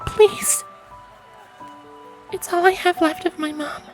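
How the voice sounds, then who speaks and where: A tiny, sweet pony filly, with an extremely high voice and slow, drawn-out delivery. She is an eager child from a storybook, speaking over background music. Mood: uneasy, pleading. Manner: squealing when excited, soft and pleading when worried. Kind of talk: acted dialogue in a fan fiction audiobook.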